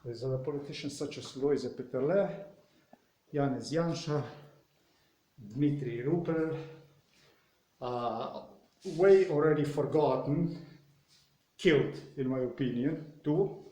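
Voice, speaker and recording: A man from Slovenia with a Slovenian accent, speaking English as a second language. He delivers an indignant political monologue, full of pauses and fillers, while doing exercise. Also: medium pitch, warm tone, reverberant. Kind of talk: solo vlog monologue.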